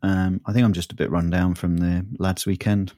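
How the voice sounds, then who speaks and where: Throaty low-voiced man, sore throat. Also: level tone, moderate pace, dark tone, no echo, clean studio sound. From southern England. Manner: rambling, self-interrupting, laughs often. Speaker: podcast co-host. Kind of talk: casual chat.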